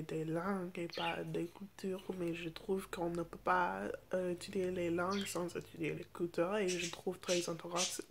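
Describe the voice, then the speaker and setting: A young woman from the United States with an American accent talks off the cuff in a language that isn't her native English. Her voice sits low and stays quite flat, and she moves slowly, halting often to hunt for the next word. It has the feel of an informal vlog. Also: a little hiss or hum. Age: teens to young adult. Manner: halting, searching for words in a second language. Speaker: vlogger. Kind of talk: casual unscripted chat to camera.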